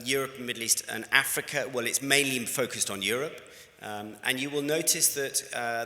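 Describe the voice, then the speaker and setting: A man's voice: on the low side, bright, forceful tone. A British man giving a conversational conference presentation, talking through slides with frequent fillers and restarts. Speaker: conference speaker. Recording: reverberant conference hall.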